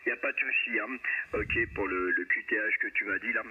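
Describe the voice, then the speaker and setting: A man's voice: on the low side, brisk. A man operating an amateur radio, chatting casually and repeating himself, heard through a radio transmission. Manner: repeats himself, frequent "hein" tags. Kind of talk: casual radio contact chat. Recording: narrow noisy radio link, static and interference. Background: radio static and interference.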